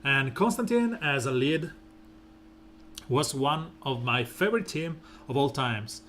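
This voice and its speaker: Man, game streamer, soft smooth voice, slow unhurried talk, faint hum behind. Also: medium pitch. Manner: relaxed, rambling, many fillers. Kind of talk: casual gameplay commentary.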